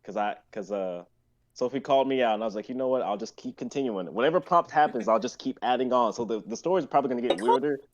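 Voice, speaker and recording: A man's voice: mid-range voice, fast, highs cut off. A man chatting casually on a group live stream, explaining things in a rambling, explanatory way. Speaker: member of a writing and performing group.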